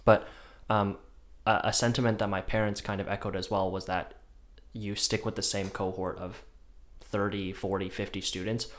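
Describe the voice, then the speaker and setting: Young man hosting, lowish voice. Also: moderate pace. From China. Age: young adult. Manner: relaxed, conversational, rhetorical "right?". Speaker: podcast host. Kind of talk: casual podcast chat.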